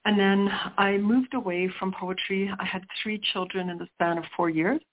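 A woman's voice: mid-range voice, steady tone, moderate pace. Middle-aged woman poet guest, Sicilian first language, reflective and searching, tinny phone-line sound. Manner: reflective, searching for words with restarts. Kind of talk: interview conversation.

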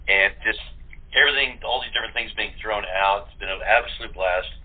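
A man's voice: on the low side, a little fast, husky. A man speaking casually as a voice actor in a group interview, over a tinny phone connection. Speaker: voice actor in an audio theatre group. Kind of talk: casual chat. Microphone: phone or internet call. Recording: narrow, tinny phone line.

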